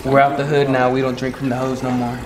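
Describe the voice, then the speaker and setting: A man's voice: mid-range voice, monotone, quick pace, low-quality recording. A young man chatting casually for a vlog in a laid-back, slangy way, with some swearing. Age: young adult. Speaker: vlogger. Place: car, store and home kitchen. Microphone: handheld camera close by.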